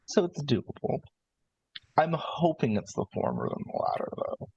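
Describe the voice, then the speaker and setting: A man improvising a calculating brother from a ruthless 1950s family in a tabletop roleplay, speaking in measured, halting phrases. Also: fairly high pitch, huge pitch swings, vocal fry, a little mellow, breathy edge, no echo, full character voice. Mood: cautious, pragmatic. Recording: slightly dull audio with music. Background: background music.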